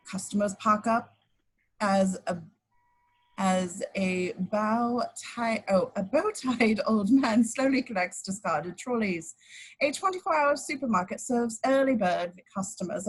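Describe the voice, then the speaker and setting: Female narrator for stage directions: slightly high, husky, very animated, amateur table-read feel. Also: poor audio. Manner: stumbles over words and corrects herself.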